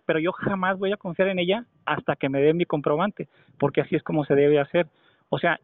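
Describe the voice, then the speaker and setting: A man's voice: high-pitched, no room sound. A man on a phone call, arguing insistently and at length with an official. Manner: insistent, argumentative, long-winded. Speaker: caller. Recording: tinny phone line.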